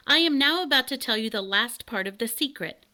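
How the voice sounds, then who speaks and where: A woman voicing a pompous, excitable male canary king from a storybook, commanding and self-important. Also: a little high, fairly quick, voice pushed up. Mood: bossy, impatient.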